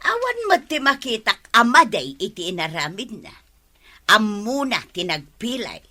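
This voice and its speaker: A woman's voice: medium pitch, very animated, normal speed, sharp tone. A woman playing a nagging, exasperated village wife in an Ilocano radio comedy drama. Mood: weary, reproving.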